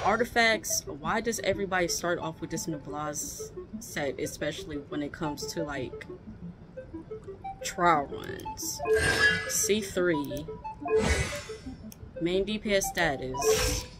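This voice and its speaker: A woman's voice: sits low, poor audio. A young adult woman giving casual, chatty gameplay commentary with grumbling asides, recorded indoors at home. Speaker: gaming streamer doing reaction commentary. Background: video game music.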